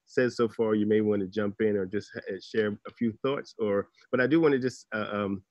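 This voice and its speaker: A man moderating a panel over a video call, introducing the next guest in a measured way with many fillers. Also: lowish voice, fairly even intonation, a little mellow, no room sound, highs cut off.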